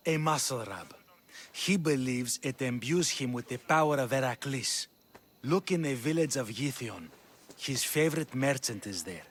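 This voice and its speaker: A man's voice: mid-range voice, lively intonation, normal speed, breathy edge. An actor playing a polite male wrestling trainer who talks in a courteous, advising way.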